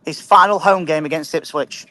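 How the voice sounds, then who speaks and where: A man's voice: fairly high pitch, normal speed, sharp tone. A man calling in to a fan show, emphatic, often stumbling and repeating words. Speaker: caller. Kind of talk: casual fan chat.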